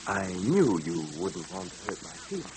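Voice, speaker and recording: An actor playing a mysterious caped man in an old radio drama, theatrical, formally courteous and quietly menacing. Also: low voice, very animated, moderate pace. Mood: silky threat. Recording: noisy old radio recording, hum.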